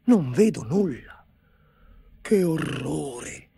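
A man's voice: animated, very slow, gravelly, dark tone, slightly rough, dry recording, exaggerated voice. A man voicing an anxious, fussy court chancellor who frets and shrills in alarm. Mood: flustered. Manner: shrill, fretful.

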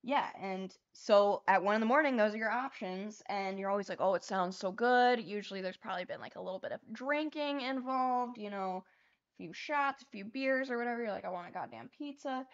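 A young woman hosting a casual livestream from her bedroom, chatting freely and swearing as she paints, with a voice that is getting hoarse. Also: on the high side, moderate pace, dull audio. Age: young adult. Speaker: livestream host. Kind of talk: casual chat while painting cards. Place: small bedroom. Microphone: lapel mic.

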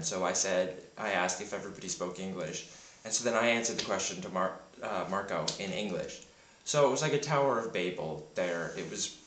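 A man chatting casually into a handheld camera in a rambling, self-deprecating way. His voice is deep and sounds a bit sick in the morning. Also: fairly bright tone, echoey room, slight background noise. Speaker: vlogger. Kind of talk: casual vlog monologue. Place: home in Berlin. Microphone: handheld camera mic.

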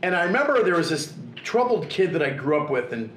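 A man's voice: on the high side, sing-song, brisk, fairly bright tone, echoey room, a little hiss or hum. A man in his forties telling stories in casual, profane conversation, often acting out quoted voices, recorded in a living room. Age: 40s. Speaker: interview guest, artist. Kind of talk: casual storytelling. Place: living room with leather couch.

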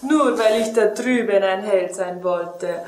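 A woman's voice: mid-range voice, slow, darkish tone, natural voice. A young woman playing a devoted, guilt-ridden young male pilot in an amateur film parody, with a solemn, pleading delivery. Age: young adult. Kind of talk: melodramatic parody acting. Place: tiled public restroom, indoors. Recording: echoey tiled room.

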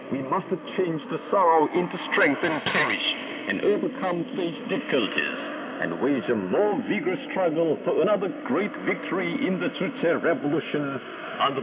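Solemn male announcer on noisy shortwave. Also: on the high side. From North Korea. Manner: solemn, declamatory. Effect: shortwave radio. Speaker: radio news announcer. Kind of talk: formal scripted state radio announcement. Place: broadcast received over shortwave. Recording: noisy, muffled shortwave radio broadcast. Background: loud static and radio noise.

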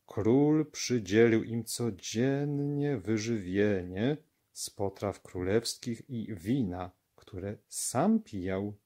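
A man reading Bible scripture aloud as a narrator for children, with measured, deliberate enunciation. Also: lowish voice, mellow. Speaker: Bible story reader.

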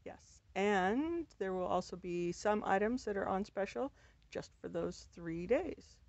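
A woman's voice: medium pitch, animated, measured pace, gravelly, a little mellow, no room sound. Upbeat lady reading off the sale deals, dry dull sound. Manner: upbeat, prompting questions. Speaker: store co-host off camera. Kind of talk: casual promo chat.

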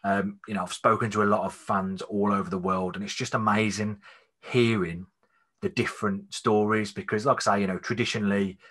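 A man hosting a fan podcast over a video call, relaxed, repeating words and using fillers. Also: fairly low pitch, a little fast, husky. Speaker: podcast host. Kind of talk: casual podcast interview. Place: small room at home. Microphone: studio mic on video call.

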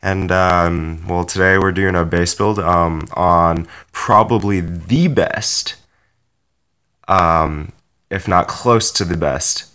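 A man's voice: sits low, fairly even intonation, slow pace, fairly bright tone, smooth. A young man hosting a casual game commentary, rambling and self-correcting with lots of fillers while fighting a cold. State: has a cold. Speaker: game channel host.

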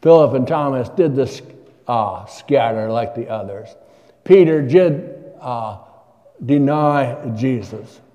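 A man's voice: medium pitch, expressive, slow, mellow. An older man preaching a sermon from a church pulpit, asking his congregation questions in a conversational way. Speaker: guest preacher. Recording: long church reverb.

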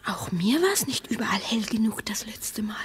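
An actress playing a wary, doubting wife in a German radio play, hesitant and sceptical, with a breathy, often whispery voice. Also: female voice, fairly high pitch, big pitch swings, moderate pace, slight background noise. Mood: doubtful, suspicious. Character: wary, doubting wife exploring underground with her husband. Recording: long hollow reverberation.

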